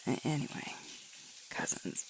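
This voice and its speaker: A woman playing a sweet, tired young alpha werewolf girlfriend in an intimate ASMR audio roleplay, breathy and halting, often dropping toward a whisper. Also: close to an everyday voice. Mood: wry, relaxed reminiscing. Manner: halting, intimate, with sighs and murmurs. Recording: loud hissing shower water throughout. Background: running shower water.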